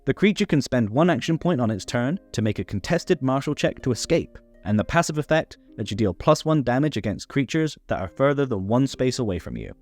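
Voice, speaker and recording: A man's voice: medium pitch, lively intonation, a little fast, few pauses, darkish tone. A man narrating a scripted tabletop game rules guide, instructional with playful asides. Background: background music.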